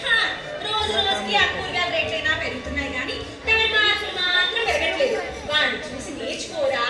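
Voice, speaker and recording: Woman acting shrill nagging mother in echoey hall. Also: expressive, fast talker. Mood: nagging, sarcastic. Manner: nagging, emphatic, sarcastic. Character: scolding mother doting on her elder son. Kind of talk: amateur Telugu stage play acting. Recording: distant echoey hall, music and crowd.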